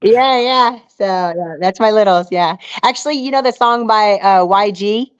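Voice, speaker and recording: A woman's voice: medium pitch, unhurried, clean tone, no echo, dull audio. A middle-aged American woman talking as an interview guest in a casual, earnest, rambling way with plenty of "you know". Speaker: interview guest, outdoor survival show contestant and angler.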